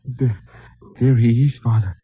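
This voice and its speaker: Humble Mexican-accented villager, anxious and halting, husky, dry narrow-band vintage radio. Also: male voice, mid-range voice, no room sound. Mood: anxious, stricken. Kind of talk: 1950s radio drama acting. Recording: tinny old radio broadcast, dry studio.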